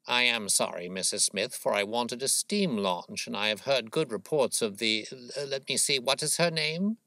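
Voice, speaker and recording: A man voicing a shrewd, smooth-talking gentleman detective, suave and probing, in an audiobook story. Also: mid-range voice, moderate pace, dry recording, voice pushed up. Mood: bland, persuasive.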